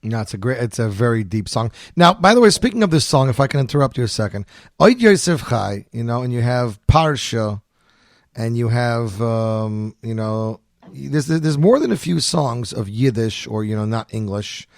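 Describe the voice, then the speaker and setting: A man's voice: medium pitch, lively intonation, moderate pace, gravelly, dry recording, studio quality. A man hosting an interview, asking long, eager questions and interjecting with agreement. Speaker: interview host.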